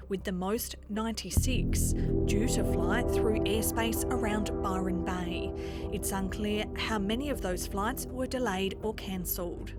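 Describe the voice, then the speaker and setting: A woman with an Australian accent narrating a television news report in a measured, clear voice over a music bed. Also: mid-range voice.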